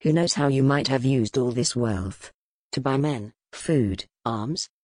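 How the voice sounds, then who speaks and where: A woman voicing a grim, powerful male duke, speaking low, measured and brooding in an audiobook. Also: darkish tone, no room sound, clean studio sound. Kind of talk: audiobook character voice.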